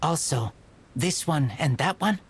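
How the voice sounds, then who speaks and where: A woman voicing an earnest wild boy in a video game, speaking in halting, terse broken sentences. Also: deep voice, expressive, a little hiss or hum. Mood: halting, trusting. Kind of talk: scripted video game voice acting.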